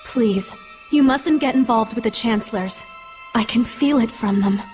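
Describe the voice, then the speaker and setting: An actress playing a gracious young princess with a polite, formal manner in a fantasy game. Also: female voice, on the high side, moderate pace, a little mellow, faint music behind, close to an everyday voice. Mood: earnest, concerned. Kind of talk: dubbed fantasy game acting.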